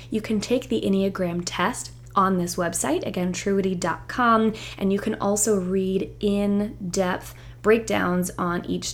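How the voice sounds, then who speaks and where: A young woman hosts a podcast in a relaxed, chatty way. She grew up in southern Virginia and laughs often as she talks. Her voice sits at an ordinary middle pitch for a woman. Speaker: podcast host. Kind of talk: casual podcast chat.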